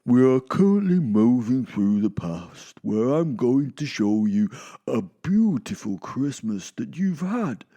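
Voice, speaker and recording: A man voicing a jolly old Santa Claus with a loud, deliberate delivery in an audio drama. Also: mid-range voice, very animated, dull audio, heavily acted. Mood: grandly instructive.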